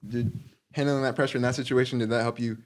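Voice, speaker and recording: A man hosts a podcast interview, firing off quick questions that ramble and restart. He talks at a fast clip and keeps up a steady stream of backchannel murmurs while his guest speaks. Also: mid-range voice. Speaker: podcast host.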